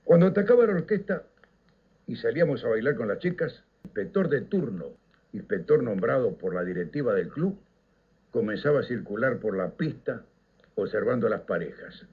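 Older Uruguayan man, very animated radio storyteller. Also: mid-range voice, slightly rough. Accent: Uruguayan Rioplatense. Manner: theatrical, declamatory, with dramatic pauses. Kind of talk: nostalgic scripted radio narration. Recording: slightly dull, lo-fi radio recording.

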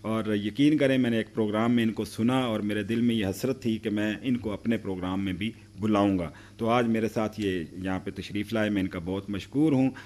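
A man's voice: fairly low pitch, steady tone, a little fast, few pauses, darkish tone. A middle-aged man hosting a TV talk show, introducing guests with effusive praise. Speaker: host. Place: TV studio set.

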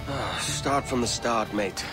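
An actor playing a gruff, wary pirate rogue in a video game, casual and terse. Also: male voice, medium pitch, slow pace, lightly acted. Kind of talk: video game drama acting. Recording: very loud music behind. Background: music.

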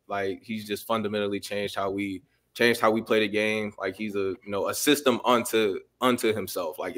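A man's voice: lowish voice, fairly bright tone. A man in casual sports talk on a video call, hesitant and full of fillers and restarts. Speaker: podcast panelist.

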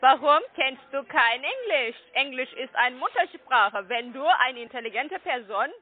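A woman's voice: high voice, animated. Blunt woman on a tinny phone line. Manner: blunt, halting, repetitive. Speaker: phone scam caller. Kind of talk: phone call.

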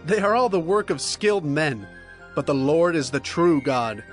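Earnest male scripture voice, a bit higher, music bed behind. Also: lively intonation, moderate pace. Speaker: Bible narrator. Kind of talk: dramatized scripture reading.

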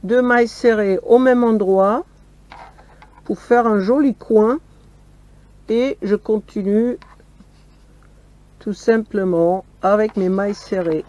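A woman casually showing her crochet work step by step, often pausing on "voilà", talking from a camper van table with the camera on her hands. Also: medium pitch, mellow, dull audio. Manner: slow, step-by-step, fond of "voilà". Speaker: crochet vlogger. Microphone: camera filming her hands from close.

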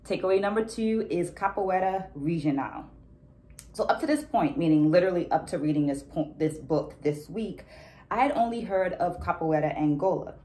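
A middle-aged woman with a fairly low voice presents a book discussion to camera. She speaks as an educator would, articulate and deliberate, laying out her points step by step. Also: animated, mellow. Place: small indoor room at home. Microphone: phone camera a short distance away.

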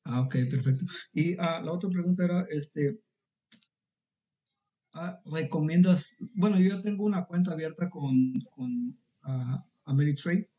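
A man's voice: a little high, a little slow, mellow. A man asking a question in an online class Q&A, hesitant with many fillers. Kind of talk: video call Q&A. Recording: muffled lo-fi call audio.

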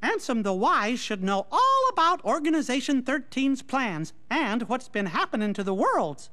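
A man voicing a small cartoon mouse king for a video game, folksy, gentle and earnest in manner. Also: extremely high pitch, sing-song, moderate pace, full character voice. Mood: earnest, explaining. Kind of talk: video game cutscene acting.

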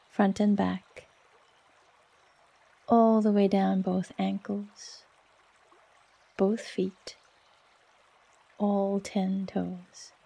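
A woman guides a meditation in a soft, soothing voice with a dark, mellow tone. Her delivery is very even and barely varies in pitch. She takes her time, speaking slowly and leaving long pauses between phrases. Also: gravelly. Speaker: yoga nidra meditation guide.

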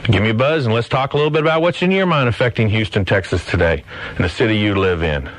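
A man's voice: lowish voice, expressive, fast talker, dry recording, poor audio. A man hosting a live call-in radio show, chatting in a folksy, informal way. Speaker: radio host.

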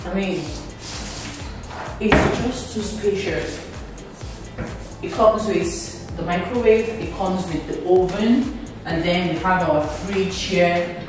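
Young Nigerian woman vlogger, gushing house tour, lowish voice, camera-mic distance, loud background music. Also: takes it slowly. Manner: gushing, exclamatory, repeats praise. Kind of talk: enthusiastic walkthrough. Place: empty furnished house, indoors, echoey rooms. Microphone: camera mic, filmed from a few steps away. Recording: roomy echo, off-mic, loud music bed.

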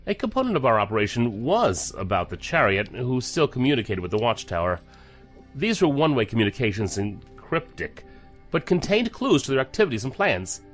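Serious undercover agent, guarded, formal, measured, expressive pitch, music underneath, sci-fi radio play. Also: male voice. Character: guarded, serious male federal agent back from deep cover. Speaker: actor in audio drama. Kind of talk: science fiction audio drama acting.

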